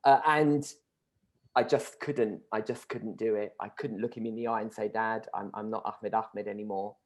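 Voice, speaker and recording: A middle-aged man, a guest speaking reflectively in an interview, pausing often with ums. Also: lowish voice, steady tone. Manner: thoughtful, hesitant, many ums.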